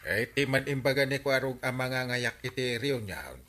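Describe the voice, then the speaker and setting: A man's voice: medium pitch, animated, moderate pace, bright, forceful tone. A man with an Ilocano accent playing an eager, evasive husband in a radio comedy drama. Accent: Ilocano Filipino.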